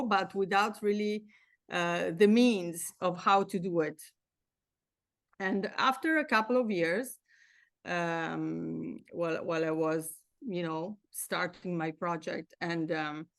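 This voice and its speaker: A middle-aged Italian woman speaks slowly and thoughtfully, her voice sitting a little low. Her Italian accent colors her English, and she pauses often, restarting phrases as she searches for words. The sound is close and dry, with no room around it. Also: a bit bright, dry recording. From Italy. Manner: hesitant, many fillers and restarts. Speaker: winery founder, interview guest. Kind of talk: casual interview conversation.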